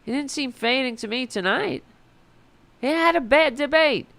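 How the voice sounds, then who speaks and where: Raspy-voiced, indignant woman host. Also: high voice, expressive, normal speed, a bit bright, no echo. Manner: sarcastic, indignant, profane. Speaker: political commentary host. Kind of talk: political commentary reading an article aloud.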